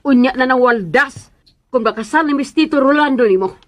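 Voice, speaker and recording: A woman in a radio drama playing a short-tempered, domineering lady of the house with a sharp, scolding manner. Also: sits high, brisk, close to an everyday voice. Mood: grumbling.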